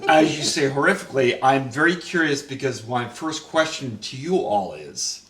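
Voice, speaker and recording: A man's voice: medium pitch, lively intonation, normal speed, a bit bright. A man hosting a casual chat as writer and director, asking his cast questions with lots of restarts. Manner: chatty, asks questions, many restarts. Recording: roomy, mic at a distance.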